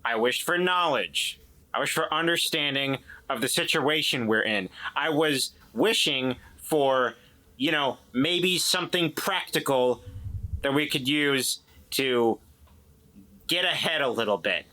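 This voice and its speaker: A man's voice: mid-range voice, expressive, unhurried, bright, forceful tone, rough recording. A man playing a defensive, evasive and distrustful man in a tabletop drama, stammering and repeating himself as he explains. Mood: insistent, earnest.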